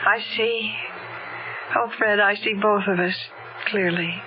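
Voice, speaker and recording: A woman's voice: medium pitch, fairly even intonation, takes it slowly, lightly acted. An actress playing an anxious but steely married woman, earnest and dramatic, in an old-time radio drama. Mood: gentle, wifely. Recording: dull, noisy old radio recording.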